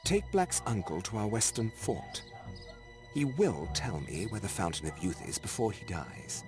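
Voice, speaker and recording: A ruthless, menacing officer with a low voice and very varied intonation. It sounds like a dramatic video game villain. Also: male voice, sing-song, moderate pace. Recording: clear music behind the voice.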